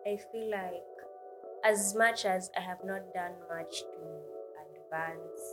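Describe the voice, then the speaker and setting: Kenyan woman, mid-twenties, podcast co-host; lively, laughs a lot, music bed underneath. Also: on the low side. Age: 20s. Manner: animated, laughs often, talks quickly. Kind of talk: casual podcast chat mixing English and Swahili.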